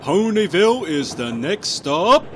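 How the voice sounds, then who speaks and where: A man voicing a pony train conductor in an animated story, loudly calling out stops in long, drawn-out words. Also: high-pitched, a little hiss or hum, voice pushed up. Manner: loud, drawn-out announcing calls.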